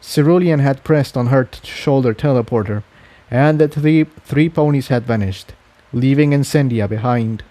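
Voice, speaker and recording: A man's voice: mid-range voice, moderate pace, dark tone, dry recording. A man reading a scripted adventure story aloud as the narrator. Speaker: fan fiction narrator.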